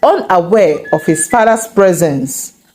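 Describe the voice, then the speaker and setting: A woman narrates a folktale over background music, taking her time with each phrase. Her pitch moves in lively swings, giving the scripted storytelling a dramatic, measured feel. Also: low-quality recording.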